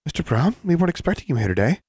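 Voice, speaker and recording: Man doing a nervous, higher-pitched witch clerk. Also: big pitch swings, rapid-fire, a little mellow, breathy edge, no room sound, studio quality. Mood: nervous, deferential. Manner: hesitant, deferential, uneasy. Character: nervous middle-aged witch, dutiful office clerk. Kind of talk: audiobook character voice.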